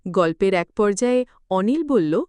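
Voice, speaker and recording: A woman narrating a children's cartoon story in a steady storytelling manner. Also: mid-range voice, unhurried, clean tone, no room sound.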